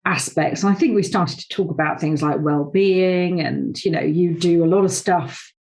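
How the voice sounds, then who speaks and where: A woman's voice: lowish voice, normal speed, creaky, darkish tone. A woman hosting a podcast interview over a video call, talking in a chatty, rambling way with many fillers. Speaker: podcast host, awards founder. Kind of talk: conversational interview.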